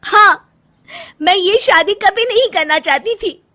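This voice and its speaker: A woman voicing an unhappy young bride in a cartoon story, resentful and quick to snap, sometimes tearful. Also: very high voice, bright, forceful tone, pitched above the performer's own voice, heavily acted. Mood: angry. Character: young unhappy bride, frustrated, sharp-tongued.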